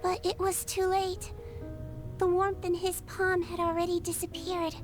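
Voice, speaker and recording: A woman voicing a small, gentle, earnest girl doctor in a game cutscene. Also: very high voice, monotone, a little slow. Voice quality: soft, sweet and young-sounding. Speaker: game character. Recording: game audio with music behind.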